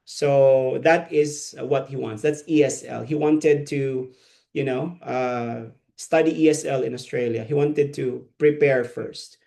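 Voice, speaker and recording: Gravelly Filipino man teaching. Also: mid-range voice, fairly even intonation, slow pace, warm tone. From Philippines. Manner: conversational lecturing, asks trainees questions. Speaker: ESL teacher trainer. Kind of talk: live online training session.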